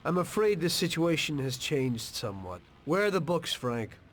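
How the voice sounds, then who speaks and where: An actor playing a loyal, plain-spoken American gangster in a video game, terse and tough. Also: male voice, on the high side, very animated, moderate pace, slight background noise. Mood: troubled, confronting. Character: loyal young mob enforcer, dutiful, plain-spoken tough. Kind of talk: dramatic video game voice acting. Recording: music behind the voice. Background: background music.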